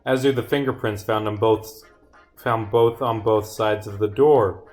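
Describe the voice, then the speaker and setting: A man's voice: fairly low pitch, steady tone, unhurried, a little mellow, close to an everyday voice. A stern detective from a visual novel, read out by a man, laying out evidence in pointed, declarative lines. The delivery is accusing, with faint music underneath. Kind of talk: reading visual novel dialogue aloud.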